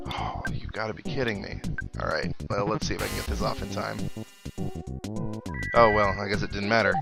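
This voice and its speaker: A man's voice: fairly low pitch, creaky. A man giving casual video game commentary in a wry, sarcastic, mock-exasperated way. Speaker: host of a video game playthrough. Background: video game music.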